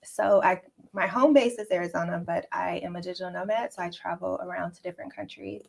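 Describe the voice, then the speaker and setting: A woman's voice: lowish voice, fairly even intonation, fast, gravelly, darkish tone. A woman in her thirties from Chicago with an American accent, introducing herself in a friendly way on a dating show. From Chicago, United States. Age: 30s. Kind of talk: casual introductions.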